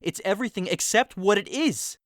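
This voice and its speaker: A man's voice: high voice, a little fast, no echo, studio quality, lightly acted. An actor playing a lovesick young man in an audio drama, sighing and wistful, breaking into passionate outbursts.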